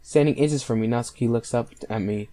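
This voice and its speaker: A man's voice: medium pitch, brisk, warm tone. A man reading visual novel text aloud as he hosts a playthrough. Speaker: let's play host.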